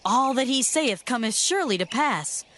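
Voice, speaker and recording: A woman's voice: on the high side, lively intonation, moderate pace, a bit bright. A woman voicing an eager, helpful young male servant in a dramatized scripture story.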